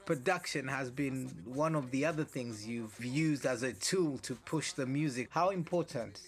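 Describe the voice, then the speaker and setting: A man's voice: medium pitch, normal speed, soft background music. A man, interviewing a guest with engaged, curious questions. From Nigeria.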